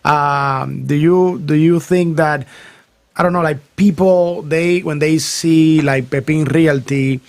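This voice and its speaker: A man with a somewhat high voice hosts a radio morning show from the studio. He interviews guests with enthusiasm, stumbling and restarting his questions often. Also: steady tone, a little mellow. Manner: halting, many restarts, enthusiastic.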